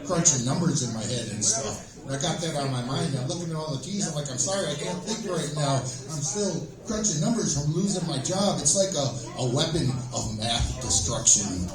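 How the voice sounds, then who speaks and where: A man doing an amateur stand-up set on stage, telling jokes in a halting, rambling way. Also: fairly high pitch, expressive, music in the background. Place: small live comedy venue, stage. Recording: echoey room, distant microphone.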